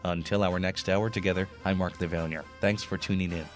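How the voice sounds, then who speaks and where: A man's voice: low-pitched, steady tone, fairly quick. A man presenting as a radio program host in a scripted announcing style. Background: music.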